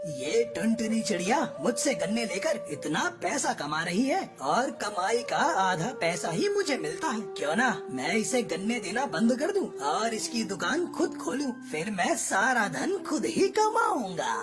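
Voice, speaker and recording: A woman's voice: medium pitch, very animated, measured pace, hardly any pauses. A woman voicing a greedy, scheming male crow trader in a Hindi cartoon moral story, with a bossy, theatrical delivery. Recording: loud music bed under voice. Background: background music.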